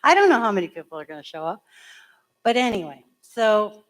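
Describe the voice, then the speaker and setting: Hoarse-voiced woman town clerk. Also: mid-range voice, big pitch swings, fairly quick, rough recording. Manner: plainspoken, chatty, explains step by step. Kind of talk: explaining procedure at a public town meeting. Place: school meeting room.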